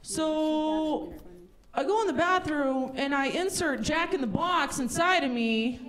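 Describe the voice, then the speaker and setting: A woman's voice: high voice, takes it slowly, fairly bright tone. A woman from Russia doing stand-up comedy on stage, telling stories in a conversational, sarcastic way. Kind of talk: stand-up comedy before a live audience. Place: live comedy showcase at a lodge venue.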